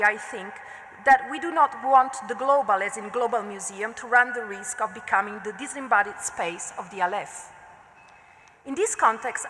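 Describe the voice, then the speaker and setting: A woman with an Italian accent, a non-native speaker who is an art history researcher, reads a prepared academic lecture at a conference in a measured, deliberate way. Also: fairly high pitch, pushed and bright. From Italy. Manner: measured, deliberate delivery with occasional slips and fillers. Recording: long reverb of a lecture hall.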